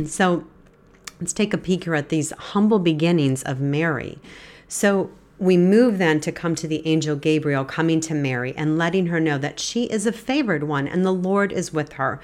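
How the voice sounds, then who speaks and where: A woman in her fifties hosts a devotional Bible podcast in a low, congested voice. She sounds stuffy from a head cold and clears her throat now and then. Her delivery is earnest and gentle as she teaches. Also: normal speed. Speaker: podcast host.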